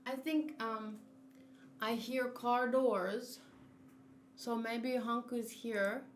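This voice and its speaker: A woman's voice: fairly high pitch, fairly even intonation, extremely slow, a little hiss or hum. A woman with a South African accent chatting casually on a game livestream from home. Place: room at home used for streaming.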